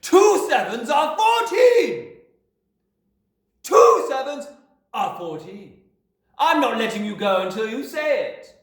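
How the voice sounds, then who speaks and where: A man's voice: sits high, lively intonation, normal speed, pitched above the performer's own voice. A bullying giant of a headmistress, done by a man reading aloud, all bark and sneer. Her voice sits well above his usual and swings widely as she bellows. A roomy echo hangs around it. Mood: bellowing. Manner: barking, sneering, loud. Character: bullying giant woman headmistress, sarcastic and thundering.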